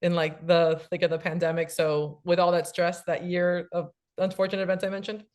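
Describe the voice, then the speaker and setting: A woman in her late thirties talks in a quick, eager rush, piling on fillers as she explains. Her voice sits a little low for a woman. She sounds like a guest on a casual chat show. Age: 30s. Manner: fast, animated, many fillers, explanatory. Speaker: guest, cookbook author.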